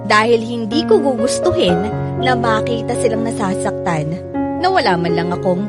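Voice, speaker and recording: A woman's voice: on the high side, animated, moderate pace, close to an everyday voice. A woman acting a protective, resolute woman who confides her thoughts as first-person narration in a Filipino radio drama. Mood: earnest, resolute. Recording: loud music under the voice. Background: loud music.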